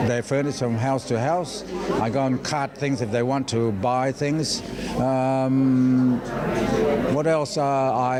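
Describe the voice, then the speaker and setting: Older man from Burma in a noisy crowd. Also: medium pitch. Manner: halting, plain-spoken, colloquial. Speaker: interviewee, volunteer helper of refugees. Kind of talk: informal interview, rambling anecdotes. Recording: very loud crowd noise behind.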